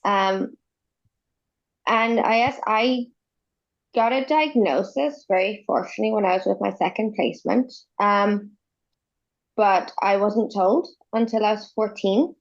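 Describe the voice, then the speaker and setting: A woman's voice: medium pitch, measured pace, lo-fi sound. A young Irish woman, a guest on a podcast, telling her story in a conversational way with many ums. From Ireland. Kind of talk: casual interview talk. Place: home in Canada, remote call.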